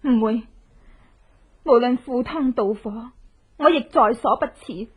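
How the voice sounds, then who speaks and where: An actress with a Hong Kong Cantonese accent plays a determined, desperate widow bent on revenge in an intense, melodramatic horror radio drama. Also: female voice, fairly high pitch, slow, husky. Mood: urgent, eager. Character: vengeful widow in hiding, determined, desperate, posing as beggar. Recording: muffled lo-fi radio drama recording.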